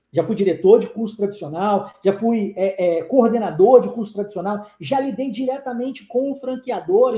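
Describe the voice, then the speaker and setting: A man's voice: very high-pitched, mellow, echoey room. A man in his forties, born in Brazil and raised in the United States with English as his first language, teaching a live online lesson to his viewers. He talks emphatically and persuasively and keeps firing rhetorical questions at them.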